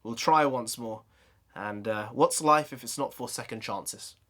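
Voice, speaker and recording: A man ranting casually in an opinion vlog, his voice going hoarse, with lively swings in his delivery. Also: medium pitch, unhurried. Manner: chatty, ranting, animated sign-off. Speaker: YouTube vlogger.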